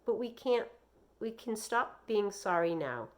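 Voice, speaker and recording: A woman's voice: mid-range voice, sing-song, unhurried, voice pushed up. Woman voicing a kindly, firm schoolteacher. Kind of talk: children's novel read aloud.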